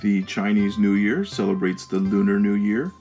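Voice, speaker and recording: A man's voice: on the low side, normal speed, warm tone, soft background music. A man narrating a travel vlog as its host, reading from a script.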